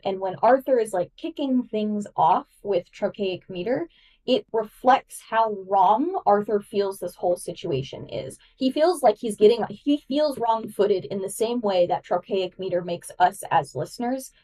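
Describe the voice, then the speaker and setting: A woman's voice: medium pitch, lively intonation, measured pace, warm tone, far from the mic, rough recording. A woman giving enthusiastic, emphatic literary analysis as a podcast guest, casual and nerdy in her delivery.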